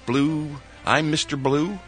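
A man's voice: fairly high pitch, takes it slowly, over music. A man reading out entertainment news on a radio show and riffing playfully with the hosts. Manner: animated, jokey, riffing. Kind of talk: showbiz report and banter.

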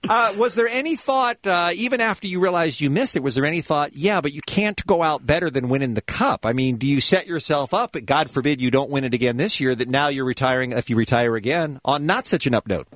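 A man's voice: on the high side, no room sound. A man co-hosting a morning radio show, bantering sarcastically and interviewing a guest. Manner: sarcastic, quick, animated.

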